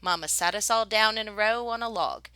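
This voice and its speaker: A woman reading a children's picture book aloud as a teacher would, with expressive, deliberate phrasing. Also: medium pitch, dry recording. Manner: animated, deliberate phrasing for young listeners. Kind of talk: expressive children's storybook narration.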